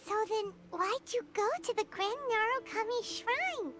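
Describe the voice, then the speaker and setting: A woman voicing a tiny, excitable fairy companion in a video game, with a squeaky childlike voice and exclamatory delivery. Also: measured pace. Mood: curious. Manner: exclamatory, animated. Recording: loud music behind the voice.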